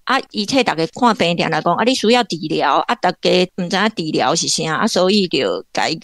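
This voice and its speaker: Woman, Taiwanese accent, lowish voice, dry close sound, conversational literary commentary, explanatory. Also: sing-song, fairly quick, dry recording. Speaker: literature professor and guest commentator.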